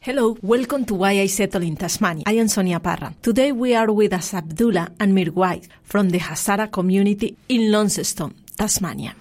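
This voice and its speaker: A woman's voice: mid-range voice, fairly quick, few pauses, a little mellow, faint music behind. A woman hosting a community radio interview, asking questions in non-native English. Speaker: radio host.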